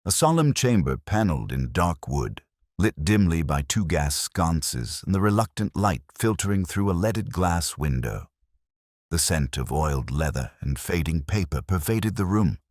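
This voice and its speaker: A man with an extremely low voice narrates a story in formal, measured prose. His pitch moves freely as he shapes each scripted line, and the sound is close and dry. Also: big pitch swings, a little mellow, dry recording. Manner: measured, formal, literary delivery. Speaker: audiobook narrator.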